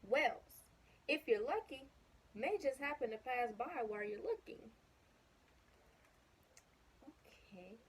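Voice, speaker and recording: A woman's voice: sits high, expressive, slow, long pauses, slightly rough. A woman reading a children's picture book aloud casually, chatting to young listeners with questions and asides. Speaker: storybook reader.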